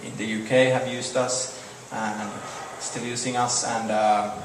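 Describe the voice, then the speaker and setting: Young Finnish-accented man, halting Q&A answers, distant podium mic in a reverberant hall. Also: mid-range voice, little pitch movement. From Finland. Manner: halting, repeats words, short answers. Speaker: startup presenter. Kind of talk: Q&A after a product demo. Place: large dark event hall on stage. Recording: echoey hall, distant mic, faint noise.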